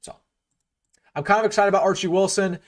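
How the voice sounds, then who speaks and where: A man's voice: sits high, steady tone, fairly quick. A young man hosting a casual live sports stream, opinionated, full of restarts and fillers, his voice getting a bit worn. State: losing his voice a little.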